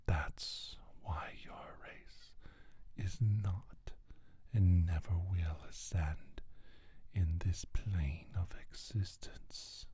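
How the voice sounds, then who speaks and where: A man playing a menacing, scornful alien scientist in a soft-spoken ASMR roleplay, speaking slowly and deliberately in a breathy, raspy whisper. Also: deep voice, heavily acted. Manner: slow, deliberate, condescending.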